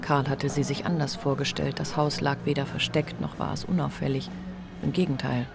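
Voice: medium pitch, flat delivery, normal speed, vocal fry, noisy background. A narrator reading literary prose in a scripted radio drama.

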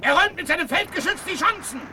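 An actor playing an excited Prussian officer on a battlefield, exclaiming in declamatory radio drama style. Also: male voice, very high-pitched, fast talker. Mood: agitated. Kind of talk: German classical radio drama acting. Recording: distant voice amid battle noise.

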